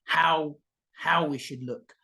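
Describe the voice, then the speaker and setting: A man's voice: a little high, fairly even intonation, takes it slowly, creaky, bright, forceful tone, breathy edge. A man hosting a podcast over a video call, chatting casually and often restarting his sentences. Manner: rambling, repeats words and restarts, jokey asides. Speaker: podcast host. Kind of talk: casual conversational chat.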